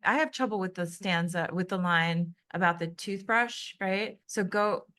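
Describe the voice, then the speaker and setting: A woman with a New Jersey accent, her tone bright and forward and pitched a little low. She talks casually about a poem, restarting sentences as she works out her reading and asking the others for theirs. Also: measured pace. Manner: thinks aloud, restarts often, asks others for their reading. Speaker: podcast co-host discussing poetry. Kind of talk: casual literary discussion.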